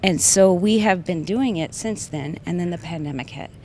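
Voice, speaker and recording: Woman, somewhat low voice, earnest, interview guest, faint rumble behind. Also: moderate pace, a little mellow, rough recording. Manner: earnest storytelling with light laughs. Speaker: guest, wellbeing leader. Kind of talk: interview answers. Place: trade show venue.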